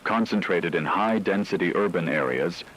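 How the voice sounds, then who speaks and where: A man playing a grave radio announcer, reading a formal scripted disaster briefing in a measured, solemn, technical manner. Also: low-pitched, expressive, fairly bright tone, slight background noise, low-quality recording. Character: grave male radio announcer delivering disaster status reports. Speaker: broadcast announcer.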